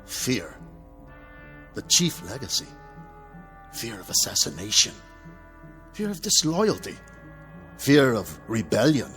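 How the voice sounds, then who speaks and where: An actor playing a deposed old dictator in a radio drama, defiant and scornful, speaking rhetorically in a gravelly, husky voice. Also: male voice, mid-range voice, huge pitch swings, slow, pauses often, fairly bright tone, exaggerated voice. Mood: grim warning. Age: older. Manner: theatrical, rhetorical. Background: faint music.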